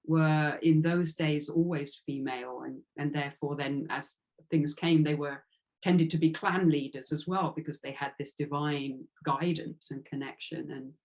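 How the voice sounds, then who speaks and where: A woman's voice: low-pitched, steady tone, a little slow, darkish tone, poor audio. A woman giving a reflective interview as an author, speaking earnestly and tentatively and circling around her phrases.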